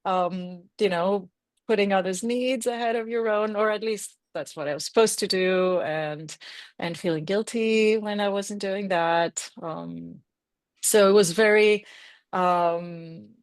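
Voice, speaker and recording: A woman in her forties from Greece, a reinvention coach talking reflectively as a podcast guest, with many fillers and soft laughs. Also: mid-range voice, a little slow, clean tone, dry recording. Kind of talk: podcast interview.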